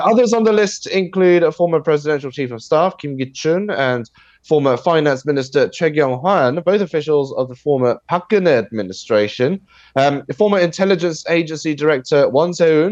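A man with a cold, sounding nasal and husky, explaining news items on a video call with a steady delivery full of fillers. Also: on the high side, normal speed, no echo, muffled recording. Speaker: news commentator. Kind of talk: radio news discussion.

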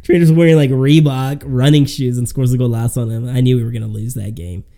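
A man's voice: medium pitch, steady tone, fast, dark tone. A man co-hosting a podcast, chatting casually in a slangy, rambling way. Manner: slangy, rambling, says "man" and "dude" often. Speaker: podcast co-host.

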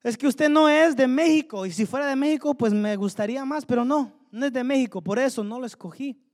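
A man's voice: very high voice, fairly quick. Guy preaching a sermon, fired up, lots of questions to the church, echoey hall. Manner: fervent, rhetorical questions to the congregation. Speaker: pastor. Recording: long hall reverb.

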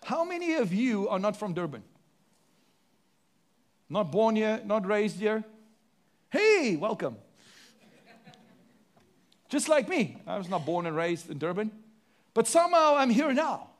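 A man with a South African accent preaching a sermon to his congregation, asking the room questions and swinging between emphatic and hushed delivery. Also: high voice, very animated, unhurried, pauses often. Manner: preaching with sweeping rises and drops, rhetorical questions. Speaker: pastor.

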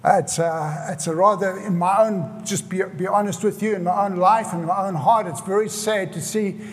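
Older South African male pastor, high-pitched, earnest sermon delivery with repetition; voice rings in a hall with long reverb. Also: fairly even intonation, normal speed. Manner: earnest, repeats phrases for emphasis.

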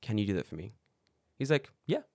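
A man with a slightly raspy, lowish voice rattles through a casual podcast chat at high speed. He tells stories by acting out what people said, swearing freely, recorded close and dry. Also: fairly quick, no echo. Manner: animated storyteller acting out quoted dialogue, swears freely. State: slightly raspy voice after karaoke. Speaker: podcast co-host.

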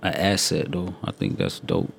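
Animated, swearing man in heated banter. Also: sits low, normal speed, vocal fry, slight background noise. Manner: profane and emphatic, repeats phrases for emphasis. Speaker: podcast co-host.

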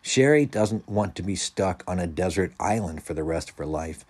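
A man's voice: low voice, expressive, normal speed, husky. A man voicing a perceptive, patient young storybook friend who explains things in plain, blunt terms.

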